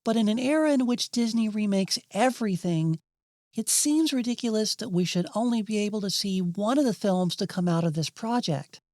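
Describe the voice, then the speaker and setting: A woman's voice: medium pitch, lively intonation, moderate pace, a little mellow, no echo, studio quality. A woman hosting and narrating a scripted video essay. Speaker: narrator and host.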